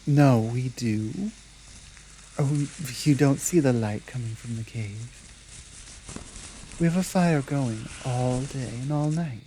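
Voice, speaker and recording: A woman voicing a dominant, muscular female werewolf pack leader in a measured, deliberate ASMR roleplay. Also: a bass voice, dark tone, a little hiss or hum, pitched below the performer's own voice, low-quality recording. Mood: patient, assured.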